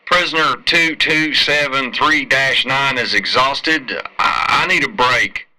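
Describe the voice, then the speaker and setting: A man playing a weary prisoner miner in a science fiction audio drama, speaking in a strained, halting way over a helmet radio. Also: medium pitch, sharp tone, voice pushed up, low-quality recording. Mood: exhausted, pleading. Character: weary, pleading male prisoner miner in spacesuit.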